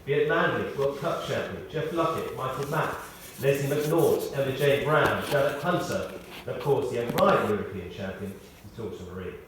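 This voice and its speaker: A man reading a prepared tribute aloud in short, measured phrases, with wry storytelling. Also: medium pitch, expressive. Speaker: tribute speaker. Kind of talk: read-out memorial tribute with anecdotes. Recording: echoey hall, distant, faint noise.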